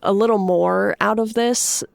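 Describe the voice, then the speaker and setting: A woman's voice: medium pitch, fairly even intonation, unhurried, gravelly, smooth, no room sound. A woman co-hosting a podcast, chatting casually about books. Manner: frequently laughs while talking. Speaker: podcast co-host. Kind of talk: casual book discussion.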